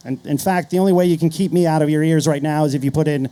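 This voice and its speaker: Higher-pitched, mellow-voiced man explaining. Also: fairly even intonation, fast. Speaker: marketer and podcast creator, panel guest. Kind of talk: explanatory panel talk.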